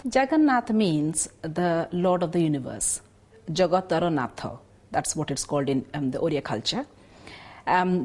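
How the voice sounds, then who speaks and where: A woman speaking in a documentary interview, explaining the history and customs of a classical dance with frequent pauses and fillers. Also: sits low, darkish tone.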